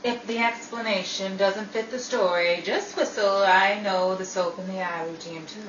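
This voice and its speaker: A woman's voice: medium pitch, fairly even intonation, moderate pace, close to an everyday voice. A woman playing a wry, exasperated but loyal friend in an old-time radio drama. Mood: sly. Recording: roomy, distant, muffled, hissy amateur recording.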